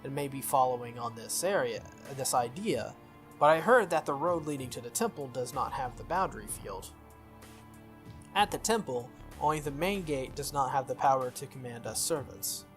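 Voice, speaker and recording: A man voicing a formal, earnest young female knight from a visual novel, in stiff, measured phrasing. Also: mid-range voice, expressive, a bit bright, soft background music, natural voice. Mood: firm, explanatory. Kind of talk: visual novel character read aloud.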